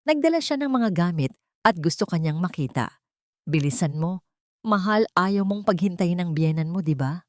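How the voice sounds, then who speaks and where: A woman's voice: fairly low pitch, lively intonation, normal speed, a little mellow, dry recording, clean studio sound, close to an everyday voice. A woman voicing a controlling husband with pushy, fake sweetness in an audio story. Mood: falsely sweet, impatient. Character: controlling adult husband, sugary and falsely sweet. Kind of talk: audio storybook character dialogue.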